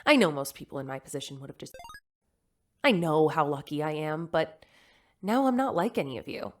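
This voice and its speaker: A woman's voice: deep voice, big pitch swings, a little fast, faint music behind. An actress playing a computerized mother who speaks earnestly and from the heart. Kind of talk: sci-fi radio drama.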